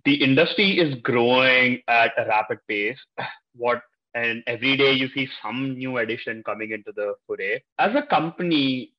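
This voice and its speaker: A man's voice: mid-range voice, normal speed. A man co-hosting an interview, asking long questions that he builds around worked examples. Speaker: podcast co-host. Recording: dull lo-fi audio.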